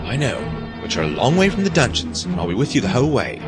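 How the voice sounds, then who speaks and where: An actor playing a protective, quick-witted adventurer in an audio drama, talking casually. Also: male voice, mid-range voice, huge pitch swings. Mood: urgent, reassuring. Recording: music behind the voice.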